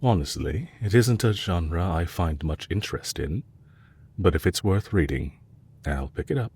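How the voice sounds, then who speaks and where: A man playing a courteous, calculating villain leader in an intimate audio roleplay, speaking politely and with measured, softly teasing delivery. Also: sits low, animated, breathy edge, full character voice. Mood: gently curious. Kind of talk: intimate scripted audio roleplay addressed to the listener.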